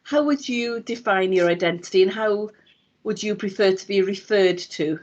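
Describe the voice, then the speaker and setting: A woman's voice: mid-range voice, normal speed, darkish tone. A woman interviewing a guest in a friendly, encouraging manner. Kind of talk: interview questions. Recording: dull lo-fi sound.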